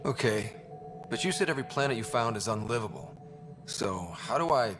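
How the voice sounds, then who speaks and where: A man's voice: fairly low pitch, expressive, fairly quick, a bit bright, soft background music. Blunt, defiant male explorer. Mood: questioning. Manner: blunt, casual. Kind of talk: video game cutscene acting.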